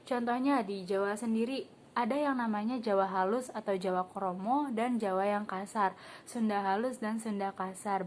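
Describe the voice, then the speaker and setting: A woman's voice: medium pitch, slight background noise. Young woman teaching a chatty class. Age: young adult. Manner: asks the class questions and calls on students by name; casual filler words. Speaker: student teacher. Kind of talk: peer-teaching classroom lesson.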